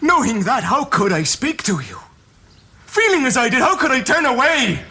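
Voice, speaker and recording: A man's voice: extremely high pitch, sing-song, normal speed, sharp tone, heavily acted. An actor playing a guilt-ridden, devout married young man in love in a period drama, with earnest, anguished, formal diction and faint noise behind. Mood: fervent. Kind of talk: period radio drama acting.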